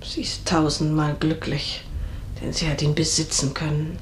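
An actress playing a bitter, jealous young daughter in a tragic radio drama, intense and impassioned. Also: female voice, low voice, flat delivery, moderate pace, a little hiss or hum, natural voice. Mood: subdued envy. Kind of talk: German radio drama, theatrical tragic acting. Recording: echoey room, distant from microphone.

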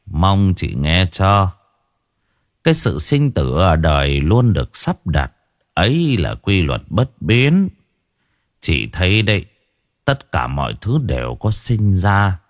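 A man voicing a calm, wise old village man who speaks slowly and gently. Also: rumbling low, dry recording, studio quality, heavily acted. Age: older. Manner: slow, gentle and measured.

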